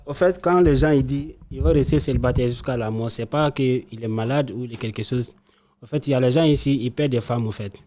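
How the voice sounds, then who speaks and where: A man's voice: mid-range voice, a little fast, a little mellow. A young man from Benin, a vocational student, speaking conversationally and repeating himself in a classroom debate. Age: young adult. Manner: conversational, repetitive.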